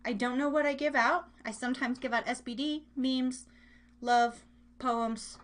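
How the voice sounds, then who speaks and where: A woman's voice: sits high, fairly even intonation, unhurried. A woman hosting a live online radio show, chatting casually with listeners in a giggly, rambling, playful way, often repeating phrases.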